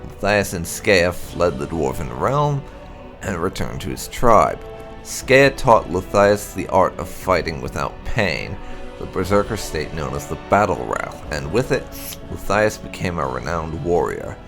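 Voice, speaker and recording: A man with a gravelly voice reading fantasy game lore aloud in a measured, solemn storytelling manner. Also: sits low, sing-song, fairly bright tone, soft background music. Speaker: gaming channel host.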